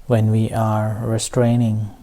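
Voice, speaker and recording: Slow, mellow male meditation teacher. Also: on the low side, monotone. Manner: halting, measured phrasing with long pauses. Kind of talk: guided meditation talk.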